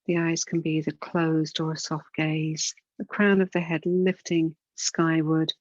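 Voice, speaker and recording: A woman with a fairly low voice guides a relaxation practice as a yoga instructor. She speaks gently and without hurry, leaving pauses between instructions. The recording is dry and a little dull. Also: little pitch movement, no room sound. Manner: soothing, unhurried, gentle guidance with pauses.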